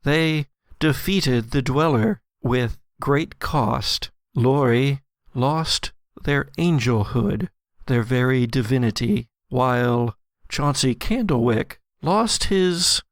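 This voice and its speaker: A man hosting and game-mastering an actual-play audio drama, telling the story in a measured, dramatic way. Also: mid-range voice, dry recording, studio quality.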